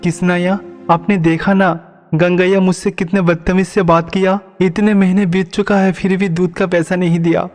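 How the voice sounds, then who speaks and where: A man's voice: high voice, little pitch movement, moderate pace, a little mellow, natural voice. An actor playing a meek, devout and naive village milkman, speaking politely and earnestly in a cartoon story. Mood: pleading prayer. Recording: long reverb, music behind.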